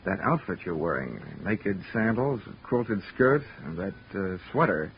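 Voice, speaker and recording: An actor playing a cocky, wisecracking insurance investigator in 1940s radio detective style. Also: male voice, deep voice, very animated, measured pace, slight background noise. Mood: wry, casual. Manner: dry, wisecracking. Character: wry, cocky hard-boiled male insurance investigator. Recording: dull old radio recording with music.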